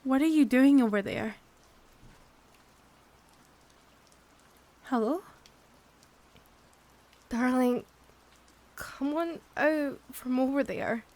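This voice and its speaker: Horror ASMR roleplay: actress as scared, stranded young woman, high-pitched, unhurried delivery, sweary, prone to whispering. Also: darkish tone. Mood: anxious, searching. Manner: breathy sighs, drops into whispers, sweary. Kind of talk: improvised ASMR audio roleplay.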